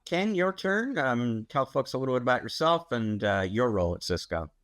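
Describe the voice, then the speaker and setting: A man's voice: medium pitch, very animated, moderate pace, a bit bright, no room sound, clean studio sound. A man co-hosting a podcast, introducing guests in a casual, upbeat way with frequent ums and uhs. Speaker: podcast co-host.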